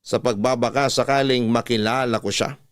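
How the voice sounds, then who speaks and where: A man's voice: mid-range voice, fairly even intonation, normal speed, music in the background. A man with a Filipino accent narrating a scripted first-person story as a radio drama host.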